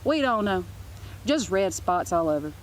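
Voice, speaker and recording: A woman's voice: mid-range voice, big pitch swings, normal speed, a little hiss or hum, close to an everyday voice, low-quality recording. A woman voicing a sly, brazen schoolgirl bully in a storybook, blunt and taunting. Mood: sly, feigned innocence.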